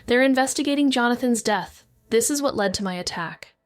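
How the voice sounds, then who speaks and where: A woman's voice: fairly high pitch, expressive, a little fast, no room sound, clean studio sound, close to an everyday voice. An actress playing a wounded, avoidant woman, narrating a noir audio drama in an introspective, brooding way. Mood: pained, haunted. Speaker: narrating character.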